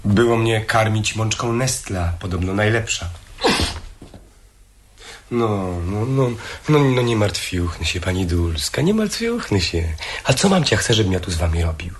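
An actor playing a cynical, mocking young bourgeois son in a radio play, theatrical and sardonic. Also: male voice, fairly low pitch, animated, a little slow. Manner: sardonic, theatrical, drawling interjections. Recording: old radio recording with faint noise.

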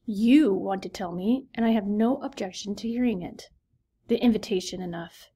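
A woman reading aloud, voicing a dry, teasing middle-aged husband in an unhurried tone. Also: medium pitch, mellow, slightly rough, lightly acted.

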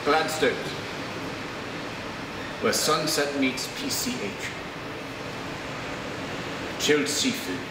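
An actor in his forties playing a formal, theatrical waiter in a comedy sketch, reciting grandly with pompous, deliberate delivery. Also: on the high side, lively intonation, full character voice. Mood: grandly dramatic. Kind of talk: dramatic reading in a comedy sketch. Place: large restaurant dining room by seaside windows. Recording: roomy, noisy restaurant, distant mic.

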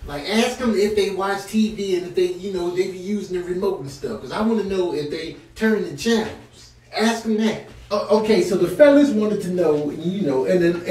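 A man's voice: high voice, darkish tone, natural voice. A man voicing a chatty, nosy raccoon that rambles and asks questions in a streetwise way, in a comic animal voiceover. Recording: very echoey, distant, faint noise.

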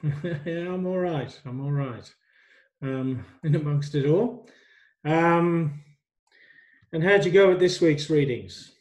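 A man's voice: fairly high pitch, slow, pauses often. Middle-aged male tutor on a video call. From migrant to Australia. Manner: relaxed, hesitant with many ums. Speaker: university tutor. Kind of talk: online workshop teaching.

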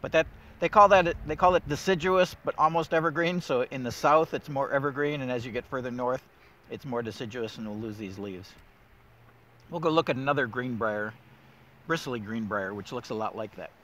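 A man's voice: medium pitch, moderate pace, a bit bright. A middle-aged man guiding a casual walk through winter woods, enthusiastic and thinking aloud with plenty of fillers. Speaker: woodland steward host. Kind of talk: casual nature walk guide.

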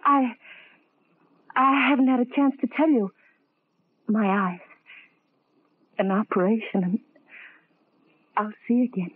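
A woman's voice: fairly high pitch, slow pace, long pauses, breathy edge. An actress playing a tender, devoted young woman in love, earnest and emotional, in an old-time radio drama with tinny sound. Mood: pleading, tearful.

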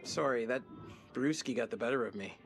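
A man's voice: mid-range voice, fairly quick, soft background music, natural voice, low-quality recording. A man acting an apologetic, seasick, studious young man in a video game. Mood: queasy, sheepish.

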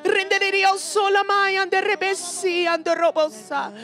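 A woman's voice: very high-pitched, flat delivery, unhurried, fairly bright tone, faint music behind. A woman preacher leading fervent charismatic prayer, urgent and pleading, exhorting with repeated phrases.

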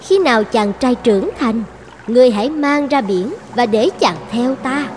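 Woman as a high-voiced cunning sea fairy. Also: lively intonation, moderate pace, darkish tone, slight background noise, close to an everyday voice. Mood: commanding. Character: cunning, lonely sea fairy granting bargains. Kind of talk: animated fairy-tale dialogue.

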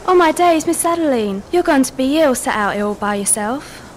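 Actress as fretful, fussing female carer for radio drama; high voice, breathless and anxious, faint ambient noise. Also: expressive, a little fast. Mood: alarmed.

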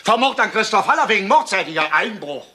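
A sarcastic male police inspector in a radio crime drama. His voice sits fairly high and swings with a lively melody. He has a sharp edge and keeps pressing with insistent questions. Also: fast, exaggerated voice. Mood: forceful, pressing.

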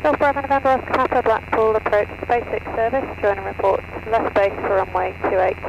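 A man's voice: high voice, fairly quick, gravelly. A man working as an air traffic controller, giving clipped procedural instructions over the radio. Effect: aircraft radio transmission. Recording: muffled, noisy aviation radio. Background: radio and engine noise.

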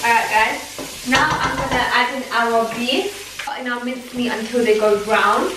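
Young woman, bright voice, chatty home cooking vlog; camera across an echoey kitchen over sizzling pan. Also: on the high side, unhurried, recorded from a distance, background noise. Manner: chatty, instructive, playful. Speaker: vlogger cooking. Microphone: camera mic from across the kitchen. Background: frying and stirring sounds.

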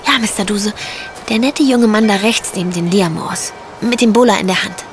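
A woman's voice: mid-range voice, normal speed, natural voice. Woman acting naive young lady, music behind. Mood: earnest, protective. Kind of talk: German radio play acting.

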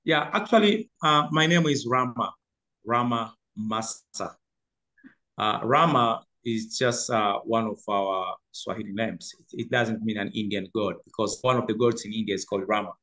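A man's voice: lowish voice, moderate pace, bright, forceful tone. Hesitant man on a call. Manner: hesitant, many fillers and restarts. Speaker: safari guide and driver. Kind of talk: video call interview. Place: room at home. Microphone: video call.

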